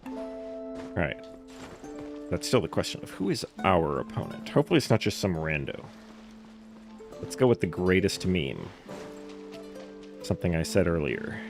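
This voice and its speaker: A man's voice: sits low, sing-song, moderate pace, pauses often. A man doing casual live game commentary, wry and joking as he thinks aloud. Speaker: live streamer playing a game. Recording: game music behind. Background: game music.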